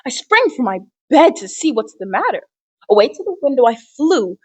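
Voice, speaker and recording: A woman's voice: high-pitched, big pitch swings, fairly quick, husky, full character voice. A woman playing an earnest father in a drama, theatrically recounting a wondrous Christmas Eve. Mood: dramatic wonder. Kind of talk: theatrical storytelling in an interview.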